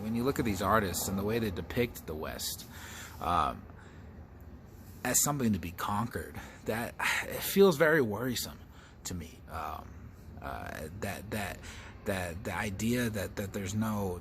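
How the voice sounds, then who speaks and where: A low-voiced American man shares personal reflections in a storyteller's style. He feels his way through sentences, repeating small words and pausing to search. Some background noise sits under his voice. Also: a bit bright. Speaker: comedian and storyteller.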